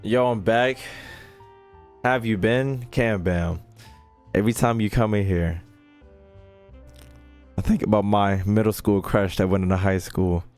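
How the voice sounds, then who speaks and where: A man's voice: lowish voice, unhurried, pauses often, a little mellow. An American man giving casual livestream game commentary, joking and swearing in loose slang. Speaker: game streamer. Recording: game music behind the voice. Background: video game music and dialogue.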